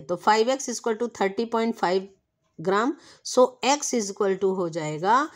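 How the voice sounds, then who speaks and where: A woman teaches a maths lesson, patient and methodical, checking in with the listener often. Her voice sits fairly high for a woman. She slows right down when she reads out the problem text. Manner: patient, explaining with checks like "theek hai". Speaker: math tutor.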